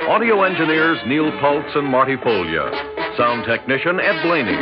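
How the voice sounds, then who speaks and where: A man's voice: fairly high pitch, sing-song, normal speed, over loud music. A man with an American accent reading credits formally as a 1960s radio announcer. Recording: muffled old radio broadcast.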